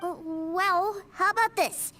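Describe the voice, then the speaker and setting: A woman voicing an eager, stubborn little boy in a video game, excitable and pleading. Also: very high voice, takes it slowly, slight background noise, full character voice.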